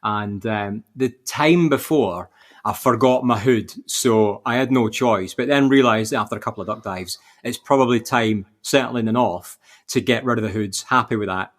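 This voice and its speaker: A man's voice: on the low side, moderate pace. A man chatting casually and anecdotally as a podcast co-host.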